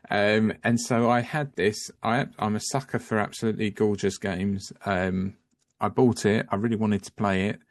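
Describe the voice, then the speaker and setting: Low gravelly guy rambling on a video call, restarts a lot, dry close sound. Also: no echo. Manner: rambling, self-interrupting, apologetic. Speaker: guest, home-educating parent. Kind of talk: casual video call chat.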